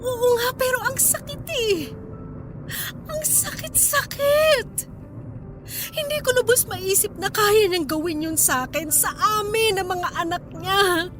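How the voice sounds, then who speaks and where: Casting: a betrayed wife in radio drama, high voice, emotional and feisty, music underneath. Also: female voice, slow pace. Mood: hurt, tearful. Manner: emotional, plaintive, exasperated. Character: tearful, feisty betrayed wife and working mother. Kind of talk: Filipino radio drama acting. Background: background music.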